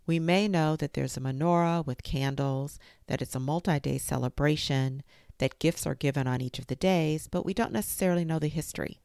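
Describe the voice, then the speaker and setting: A woman hosting a storytime show, reading a children's history book aloud in a gentle, careful storytelling manner. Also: deep voice, fairly quick, gravelly, dry recording, studio quality. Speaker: storytime reader.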